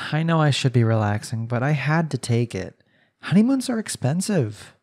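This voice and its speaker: A man's voice: mid-range voice, very animated, moderate pace, darkish tone. A man voicing a young newlywed husband in an arranged marriage, speaking conversationally in an intimate audio roleplay. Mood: reassuring, weary.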